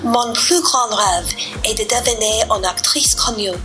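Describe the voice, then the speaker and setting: A middle-aged woman, a beginner French student reading her answers slowly and carefully. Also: a little high. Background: background music.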